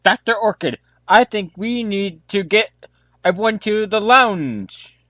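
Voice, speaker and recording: A formal, methodical detective played by a man with a fairly high voice lays out accusations in an audio drama. He declaims his lines, recorded close and dry with no room sound. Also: animated, unhurried, bright, forceful tone, dry recording, full character voice. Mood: pondering. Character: methodical police detective accusing murder suspects. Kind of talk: amateur audio drama acting.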